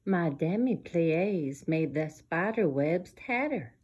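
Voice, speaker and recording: A woman's voice: on the low side, lively intonation, slow pace, darkish tone, breathy edge, voice pushed down, heavily acted. A woman voicing a little zombie ballerina girl, dramatic and eager, in a theatrical children's storybook reading. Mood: dramatic, spooky.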